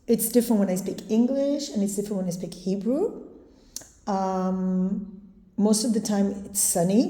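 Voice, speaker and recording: A woman with an Israeli accent, talking casually to camera with many pauses and ums. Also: medium pitch, mellow. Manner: slow, hesitant, many ums. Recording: echoey room with long reverb.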